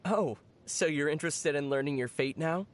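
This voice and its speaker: A young adult actor playing a calm, gently teasing traveler hero in scripted video game dialogue. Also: mid-range voice, moderate pace, lightly acted. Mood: wry, teasing.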